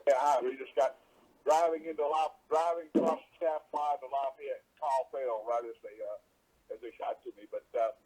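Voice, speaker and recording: A man asking halting, rambling questions as a sports reporter on a conference call. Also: mid-range voice, steady tone, mellow, low-quality recording.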